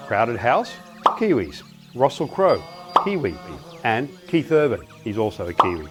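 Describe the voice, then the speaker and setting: An Australian man presents with a lively, swooping delivery and plenty of wry asides. Faint music plays behind him. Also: mid-range voice, unhurried. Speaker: destination expert presenter. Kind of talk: presentation with light humor.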